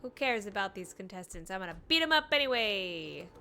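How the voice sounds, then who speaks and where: A woman's voice: mid-range voice, brisk, faint music behind. A woman streamer giving playful, casual live reactions while playing a video game. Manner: playful, animated.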